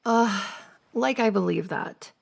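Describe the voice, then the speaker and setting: A woman's voice: mid-range voice, takes it slowly, vocal fry, full character voice. A woman playing a playful, teasing young woman, a best friend's older sister, in a giggly, casual ASMR roleplay. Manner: giggly, teasing banter with frequent soft laughs.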